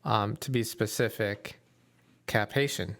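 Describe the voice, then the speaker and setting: A man's voice: on the low side, unhurried, a bit bright. A man interviewing a guest, asking questions in a casual, hesitant way with frequent ums.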